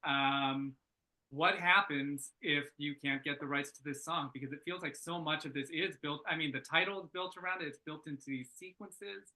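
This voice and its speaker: Bright-voiced man giving halting, informal feedback. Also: fairly high pitch, poor audio. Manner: halting, thinking aloud. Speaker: script reader giving feedback. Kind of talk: casual workshop feedback discussion.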